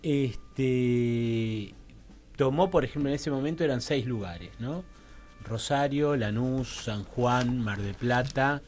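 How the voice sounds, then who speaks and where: A man with an Argentine Rioplatense accent talks as a guest researcher on a radio interview. His medium-pitched voice moves in stops and starts, digressing and checking for agreement. Faint music sits behind him. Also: darkish tone. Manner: halting, digressive, seeks agreement with frequent tag questions. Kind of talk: informal radio interview explanation. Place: radio studio.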